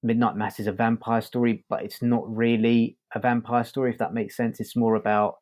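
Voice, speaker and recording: A man co-hosting a podcast, chatting casually about a review and thinking aloud in a halting way. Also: medium pitch, little pitch movement, a little mellow. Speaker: podcast co-host reviewing audio drama.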